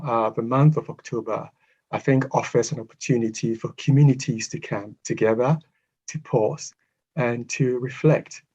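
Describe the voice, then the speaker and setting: A man from Ghana giving a prepared online lecture in measured, formal phrases with pauses. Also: medium pitch, slightly rough. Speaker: guest speaker, psychotherapist. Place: online video call. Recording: dull, lo-fi video call audio.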